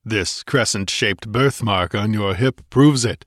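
A man voicing a massive, contemptuous barbarian warrior in an audiobook, barking and rumbling with impatience. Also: animated, moderate pace, no echo, studio quality, lightly acted. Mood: angry contempt. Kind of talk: dramatised audiobook character voice.

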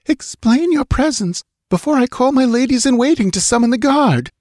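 A man's voice: very high voice, animated, normal speed, darkish tone, no echo, clean studio sound, pitched above the performer's own voice. A man voicing a frightened, indignant young queen in a fantasy audiobook, gasping and regal. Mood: alarmed, indignant. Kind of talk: dramatic audiobook fantasy character voice.

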